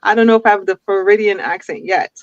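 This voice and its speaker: A woman's voice: mid-range voice, fairly even intonation, fast talker, dry recording. A woman in her forties from Jamaica, with a mix of Jamaican and Brooklyn New York accents, chatting as a guest on a video call and laughing often. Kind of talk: casual interview over a video call. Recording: dull lo-fi video call audio.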